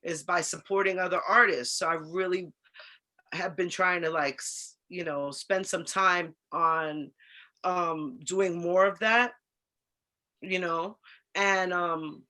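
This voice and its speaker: An American woman chatting and telling stories casually as a co-host, in an animated, slangy, expressive way. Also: lowish voice, slow. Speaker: co-host of an online talk show. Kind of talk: casual chat and storytelling.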